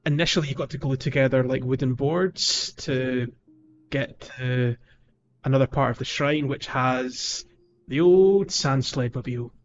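A man's voice: medium pitch, unhurried, muffled recording. A man hosting a casual video game podcast, explaining things in a hesitant, rambling way. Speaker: podcast host.

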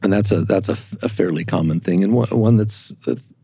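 A man with a low, husky voice explains as an invited expert. He picks his words carefully and haltingly, doubling back on them, and the sound is dry and close. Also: warm tone, dry recording. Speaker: podcast guest, church historian. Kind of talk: explanatory discussion.

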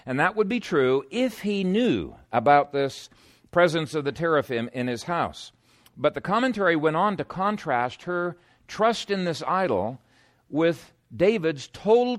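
A man preaching an expository sermon in an emphatic, conversational teaching manner. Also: fairly high pitch.

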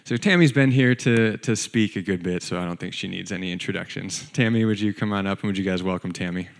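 A man with a somewhat low voice acts as a church host. He gives an informal spoken introduction for a guest speaker. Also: quick pace, gravelly, a little hiss or hum.

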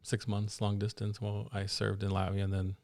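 Male guest, deep and gravelly, relaxed joker. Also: fairly quick, no room sound, clean studio sound. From Arizona. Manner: laid-back, joking. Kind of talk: casual interview.

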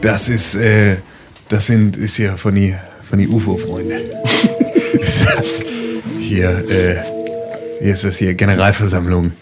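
A man with a fairly low voice plays along in a tabletop role-playing game. He improvises casually in character, with music clearly audible behind him. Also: little pitch movement, slow, pauses often.

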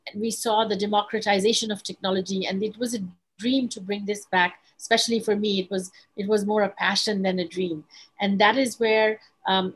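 Middle-aged woman from Bangladesh, interview guest, measured, frequent restarts. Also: mid-range voice, husky, low-quality recording. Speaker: guest, tech investor. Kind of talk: online interview.

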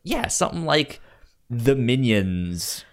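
An American man co-hosting a podcast in casual film chat, speaking haltingly and repeating words as he thinks aloud. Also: mid-range voice, very animated. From United States. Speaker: podcast co-host.

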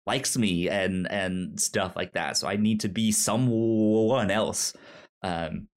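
A man co-hosting a casual film discussion, speaking haltingly and repeating words as he searches for his phrasing. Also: low voice. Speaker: podcast co-host.

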